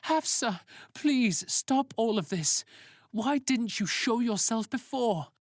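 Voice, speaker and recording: A man's voice: very high voice, lively intonation, takes it slowly, dry recording, studio quality, voice pushed up, full character voice. A man voicing an agitated, confrontational teenage boy in a dramatic story. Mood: shocked, pleading. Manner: emphatic, confrontational. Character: agitated teenage boy searching for his sister.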